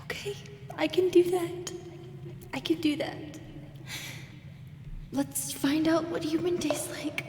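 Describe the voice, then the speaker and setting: A woman plays a lonely female drider, a wounded monster girl with a high, breathy voice that often sinks to a whisper. She speaks slowly and haltingly in audio roleplay style, with long cavernous reverb around her. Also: steady tone, darkish tone. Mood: hurt, bitter. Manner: halting, emotional, repeating herself. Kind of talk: roleplay audio drama acting. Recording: echoey, long reverb, background noise.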